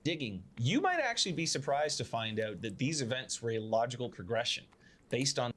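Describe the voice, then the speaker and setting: A man's voice: medium pitch, big pitch swings, brisk, fairly bright tone. A man delivering a prepared video statement to camera in an earnest, self-justifying way, with formal, repetitive phrasing. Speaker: video creator giving a response statement.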